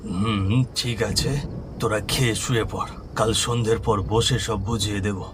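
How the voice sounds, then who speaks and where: A man's voice: lowish voice, steady tone, measured pace, background noise, lightly acted. A man voicing a weary, resigned aging village father in a cartoon. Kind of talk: cartoon voice acting. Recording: loud music behind voice.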